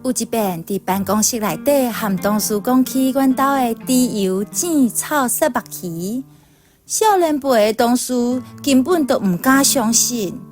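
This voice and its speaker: A woman with a Taiwanese accent reads a personal story aloud in a high voice. She takes her time over each phrase, in the manner of scripted storytelling. Soft instrumental music plays behind her. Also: unhurried. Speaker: reader of a personal essay.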